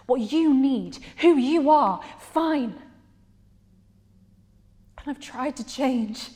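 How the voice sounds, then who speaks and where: Actress playing wounded, defiant woman confronting a partner onstage. Lightly high, intense, halting, reverberant space. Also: a little mellow, full character voice. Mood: hurt, defiant. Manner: intense, halting, drops to breathy whispers. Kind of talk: dramatic stage monologue.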